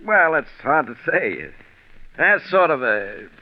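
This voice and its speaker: An actor playing a genial, folksy factory superintendent in a 1940s radio drama, easygoing and a little hesitant. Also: male voice, fairly high pitch, very animated, a little slow. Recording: noisy, dull 1940s radio recording.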